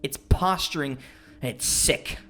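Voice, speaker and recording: A man's voice: mid-range voice, a little slow, sharp tone, faint music behind. An actor playing a bitter, boastful young man, an internet misogynist, in a dramatic, self-pitying audio drama style. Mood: bitter ranting.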